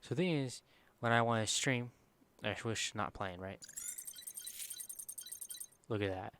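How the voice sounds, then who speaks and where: Soft-spoken man rambling through a tutorial. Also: on the low side, measured pace, slight background noise. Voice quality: quiet voice. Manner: rambling, informal, many fillers and self-corrections. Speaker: streamer giving a software tutorial. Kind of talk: casual screen-recorded tutorial.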